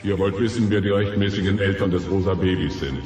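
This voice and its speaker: A man's voice: sits low, fast talker, close to an everyday voice. A man voicing a solemn, imperious wise dinosaur magician, pompous and formal, in a cartoon audio drama. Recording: echoing voice over music.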